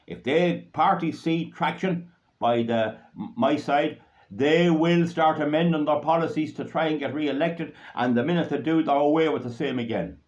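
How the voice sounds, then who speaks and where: Blunt Irish man, fairly high voice. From Ireland. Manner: blunt, rambling, forceful. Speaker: political activist. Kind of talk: political speech to supporters. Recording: dull lo-fi recording.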